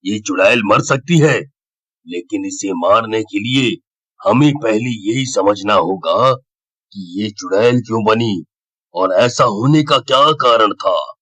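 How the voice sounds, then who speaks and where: A man voicing a grave, sensible occult leader in a horror cartoon, explaining things in a measured, deliberate way. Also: lowish voice, fairly bright tone. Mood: grave, cautioning. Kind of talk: Hindi horror-cartoon voice acting.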